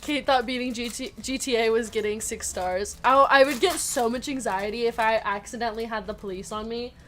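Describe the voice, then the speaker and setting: A woman's voice: on the high side, fairly even intonation, brisk, fairly bright tone, slight background noise. A woman hosting a live card break in casual chat, laughing often as she reads out players and numbers. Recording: music playing behind.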